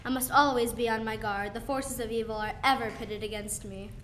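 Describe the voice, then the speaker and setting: A woman's voice: fairly high pitch, fairly even intonation, brisk, fairly bright tone, close to an everyday voice. A teenage girl playing a solemn, weary old mystic master in a school radio play, speaking formally. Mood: guarded, insistent. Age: young teenager. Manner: formal, stately. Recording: faint background noise.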